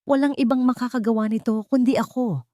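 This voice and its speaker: A woman's voice: a little high, steady tone, a little fast, warm tone, dry recording, clean studio sound, pitched above the performer's own voice, natural voice. Woman voicing a boastful Filipino businessman making a speech. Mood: proud, showy. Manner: proud, declamatory. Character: boastful, self-satisfied successful businessman giving a speech.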